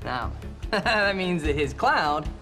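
Cocky American hacker, music behind. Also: male voice, a little high, sing-song, exaggerated voice. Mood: smug. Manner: smug, swearing, drawn-out emphasis. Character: cocky, sarcastic male computer hacker bossing his assistant. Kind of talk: live-action thriller screen acting.

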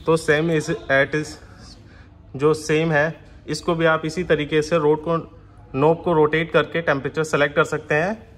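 A man's voice: fairly high pitch, little pitch movement, measured pace, a bit bright. A man giving an unscripted, step-by-step product demonstration, mixing in English technical terms. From India.

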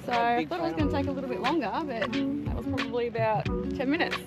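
Brief: upbeat female vlogger, higher pitch, casual outdoor walk-and-talk, loud music under. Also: fast talker. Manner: chatty, upbeat, informal. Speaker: travel vlogger, mother of three. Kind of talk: casual travel vlog narration. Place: outdoors on a tropical island.

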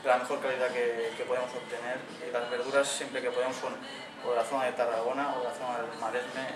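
A man, a head chef answering interview questions in a steady, measured way in a restaurant dining room. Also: mid-range voice. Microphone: far camera mic. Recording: echoey room from far off, with background noise.

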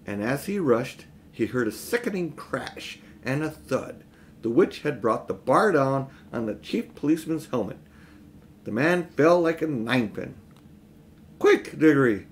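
A middle-aged man reading a children's storybook aloud in expressive narration. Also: medium pitch, a little slow, slight background noise. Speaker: audiobook reader. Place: small room at home, indoors.

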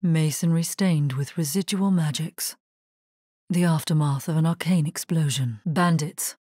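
A grave necromancer lady for a fantasy game, lowish husky voice, slow solemn delivery, super dry recording. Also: warm tone, no echo. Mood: grim, wary. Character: grave, resolute woman necromancer, dark fantasy game hero. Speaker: video game voice actor. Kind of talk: scripted video game voice lines.

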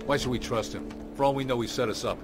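An actor playing a blunt, distrustful special forces soldier in a video game, confrontational and. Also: male voice, medium pitch, quick pace, natural voice. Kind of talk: video game cutscene acting. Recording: loud cinematic music behind the voice.

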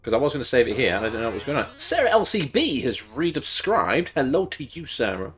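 A man's voice: mid-range voice, big pitch swings, fast, fairly bright tone. A man in his thirties, congested and losing his voice, chatting rambly while streaming a game at home. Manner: rambling, chatty, jokey asides. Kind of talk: casual live-stream chat while playing.

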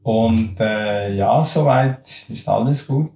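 A man in his sixties giving a halting patient testimonial to camera. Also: on the low side, vocal fry, warm tone. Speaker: dental patient. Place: small dental clinic room. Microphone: lapel mic. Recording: roomy sound with faint noise.

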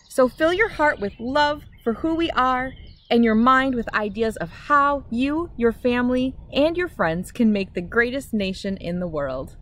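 Middle-aged American woman, slightly high voice, children's story reader addressing kids directly with expressive delivery. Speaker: host of children's read-aloud videos. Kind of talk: children's picture-book read-aloud with friendly direct address.

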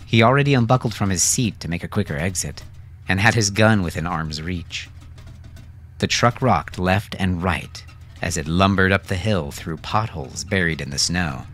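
A man's voice: deep voice, normal speed, a bit bright. A man reading scripted audiobook fiction as its narrator.

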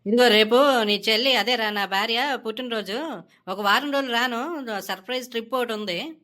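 A woman's voice: fairly high pitch, normal speed, sharp tone. A woman voicing a brash, entitled and scheming young male office worker in a comedy cartoon, talking casually and cockily. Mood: boastful, smug. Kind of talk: Telugu comedy cartoon dialogue.

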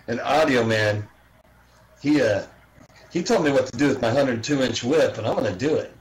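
A man with an average-pitched voice talks casually on a group voice chat. He rambles a little and circles back over his own words, in the informal way of a hobbyist among friends. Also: normal speed, rough recording. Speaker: CB radio hobbyist.

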